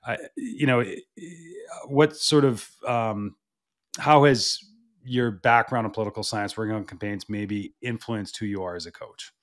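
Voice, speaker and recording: A man's voice: lowish voice, unhurried. A man interviewing a coach, asking long, hesitant questions full of restarts and you-knows. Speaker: interviewer, podcast host.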